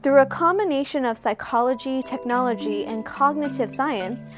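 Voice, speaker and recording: A woman's voice: high-pitched, normal speed, over music. A woman reading a scripted introduction as the host of a speaker series.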